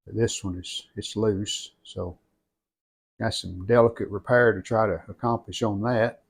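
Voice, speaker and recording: A man giving an unscripted hands-on restoration walkthrough in plainspoken, halting short phrases. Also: on the low side, steady tone, creaky, breathy edge. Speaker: radio restorer presenting own channel.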